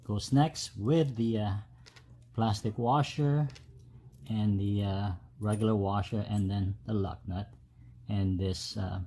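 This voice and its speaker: A man giving a casual, unscripted step-by-step tutorial as a DIY host, with halting delivery. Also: on the low side, dark tone. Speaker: host of a DIY truck modification video. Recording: clear background noise, ambient sound.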